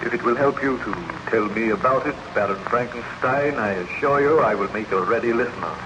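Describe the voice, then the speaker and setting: An actor playing a sober, doubting sea captain with a formal, stagey delivery in 1930s radio drama style. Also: male voice, mid-range voice, a little fast, lightly acted. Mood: reassuring. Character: sober, skeptical sea captain hearing a confession. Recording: dull, noisy old 1930s radio recording.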